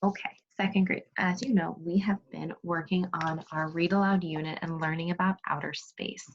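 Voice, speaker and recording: Woman, primary teacher, low-pitched, leading an interactive read-aloud on a dull video-call line. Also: steady tone, normal speed, a little hiss or hum. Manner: instructive, question-led, praising pupils. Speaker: second grade teacher. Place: remote video class.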